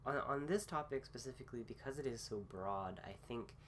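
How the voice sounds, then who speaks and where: A man recovering from a cold gives a casual philosophy talk with many pauses, fillers and restarts. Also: medium pitch, a little hiss or hum. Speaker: host.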